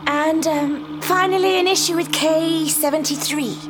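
A woman's voice: very high-pitched, fairly even intonation, a little slow, over music. An actress playing a clever, idealistic teenage girl in an audio drama, explaining things earnestly.

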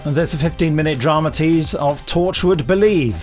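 A man's voice: a little high, normal speed, darkish tone. Male podcast host over faint music. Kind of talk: casual co-hosted podcast chat.

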